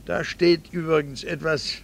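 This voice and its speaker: A middle-aged Viennese doctor in a classic radio drama. His fairly high voice has a lot of creak, and he speaks with dry, resentful irony. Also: level tone, a little slow, a little hiss or hum. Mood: wry. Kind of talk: 1960s radio drama acting.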